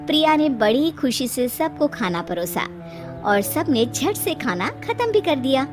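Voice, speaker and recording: A woman giving scripted storybook narration for an animated moral tale. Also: sits high, unhurried, over music.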